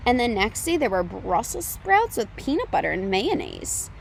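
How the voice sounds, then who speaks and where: Woman doing kids storytime, highish voice, expressive reading, some background noise on the recording. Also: normal speed, gravelly, low-quality recording. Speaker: storytime reader. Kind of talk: read-aloud of a children's picture book.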